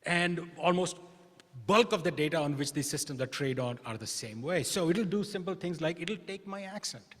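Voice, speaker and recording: A man's slightly high voice rings through a reverberant hall. His Indian accent carries some American influence, and he lectures informally with a wry touch, like a keynote speaker talking off the cuff. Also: expressive, moderate pace. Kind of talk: conference keynote, conversational lecture. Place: large indoor hall, conference keynote.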